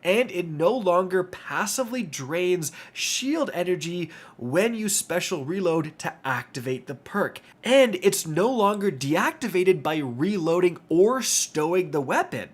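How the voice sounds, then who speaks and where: Nasal, congested man with a higher voice. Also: big pitch swings, a little slow, few pauses. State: congested with a stuffy nose. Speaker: gaming video creator and host. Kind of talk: upbeat game update commentary.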